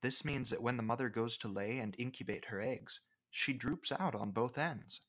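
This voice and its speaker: A man's voice: mid-range voice, moderate pace, a bit bright. Man narrating a nature explainer. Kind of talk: scripted educational nature narration.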